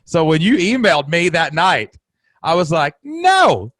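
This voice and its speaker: A man with a Southern American accent hosting an interview podcast in an animated, emphatic way and laughing often. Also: a little high, unhurried, no room sound. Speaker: podcast host. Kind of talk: casual interview chat.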